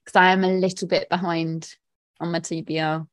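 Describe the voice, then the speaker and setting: A woman's voice: fairly low pitch, level tone, moderate pace, no room sound, clean studio sound. A woman co-hosting a book podcast, chatting in an upbeat way and laughing often. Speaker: podcast co-host. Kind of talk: casual chat.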